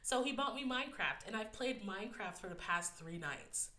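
Tearful young woman, bright nasal voice. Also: mid-range voice, lively intonation, moderate pace, reverberant. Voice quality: a bit nasal. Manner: candid, emotional, laughs often, fillers like "like" and "um". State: close to tears. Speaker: podcast host. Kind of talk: personal solo podcast talk.

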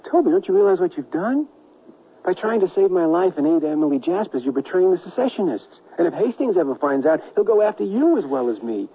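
An actor playing an earnest, brave reporter and loyal friend, emphatic and concerned, in old-fashioned radio drama style. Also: male voice, high-pitched, very animated, fairly quick, slightly rough, phone-quality sound, full character voice. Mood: urgent, resolute. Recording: band-limited radio broadcast.

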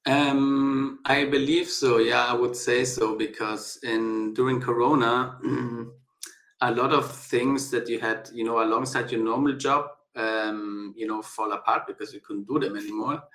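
A man's voice: mid-range voice, little pitch movement, a little slow, a bit bright. A man from South Tyrol in northern Italy, a guest architect in a casual interview, talking in a thoughtful, hesitant way with many fillers and restarts over a remote call. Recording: echoey room.

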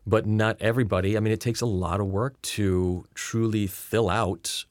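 A man's voice: fairly low pitch, steady tone, no room sound, clean studio sound. A middle-aged man from Rhode Island, an actor talking earnestly and thoughtfully as an interview guest, with no regional accent. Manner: earnest and reflective, drawn-out words. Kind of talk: relaxed interview talk.

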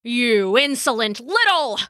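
A woman's voice: fairly high pitch, very animated, slow pace, sharp tone, no echo, clean studio sound, exaggerated voice. A woman voicing a hot-tempered male thunder god who bellows and snarls in a storybook myth. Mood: furious, snarling. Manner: roaring, snarling, blustering.

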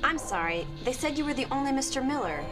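A woman's voice: high-pitched, lively intonation, quick pace, music in the background. A woman playing a polite office assistant in an audio drama. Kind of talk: scripted audio drama acting.

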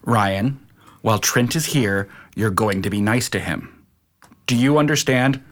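A man's voice: lowish voice, measured pace, fairly bright tone. A man playing a skeptical middle-aged uncle and father in an audio drama, matter-of-fact and firm. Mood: stern.

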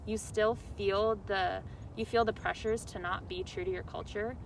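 A young woman in casual conversation as a podcast host, talking warmly and often laughing, with many "like"s. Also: mid-range voice, steady tone, normal speed, vocal fry, distant mic, a little hiss or hum, low-quality recording. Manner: chatty, laughs often, says "like" a lot.

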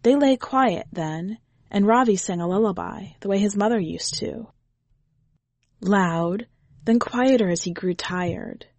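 A woman's voice: medium pitch, unhurried, darkish tone, no room sound. A woman reading a short story aloud as a podcast host, in scripted narration.

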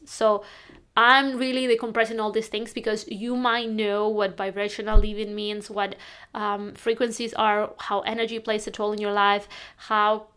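A woman's voice: mid-range voice, fairly bright tone. A young woman from northern Spain, talking earnestly and rambling a bit in a casual monologue. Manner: earnest, rambling, self-correcting. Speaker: lifestyle podcaster.

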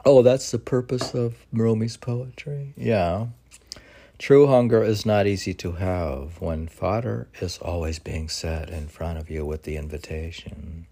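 A man in his sixties with a very low, creaky voice. He rambles casually and reads poetry, musing in halting fragments. The pace is very slow. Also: fairly even intonation, mellow. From United States. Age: 60s. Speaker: poet. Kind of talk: casual rambling talk mixed with poetry reading.

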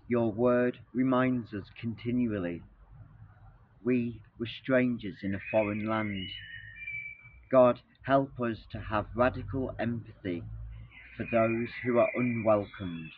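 A man reads a litany prayer very slowly and solemnly. His voice is thin and phone-like, with a faint hiss behind it. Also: medium pitch. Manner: solemn, measured. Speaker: prayer leader.

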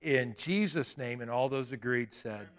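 A man in his late fifties preaching a sermon in a church, with emphatic declarations and quieter asides. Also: medium pitch, measured pace. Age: 50s. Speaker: pastor. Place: church sanctuary.

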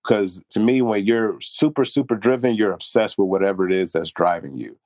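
A man's voice: fairly low pitch, fairly quick, a little mellow, no echo. A young man talking earnestly and reflectively about pride and humility in a casual podcast chat. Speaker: podcast co-host.

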